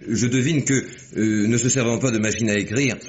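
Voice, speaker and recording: A man's voice: on the low side, fairly even intonation, a little fast, natural voice. An actor playing a meek, hesitant man who stammers through his answers in an old radio drama.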